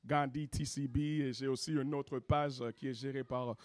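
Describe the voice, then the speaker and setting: A man's voice: medium pitch, fairly even intonation, moderate pace, a little mellow. Laid-back Haitian rapper, code-switching. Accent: Haitian French. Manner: laid-back, peppers speech with "you know what I mean". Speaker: rapper and singer. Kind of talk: casual interview, mixing French and English.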